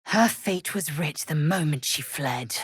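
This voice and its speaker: A fierce young female alien warrior from a fantasy video game, very low and husky, with strongly varied pitch. She speaks in clipped, archaic, haughty phrases, proud and disdainful. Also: animated, unhurried, no echo, studio quality, heavily acted. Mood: curt, disdainful. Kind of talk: video game character voice lines.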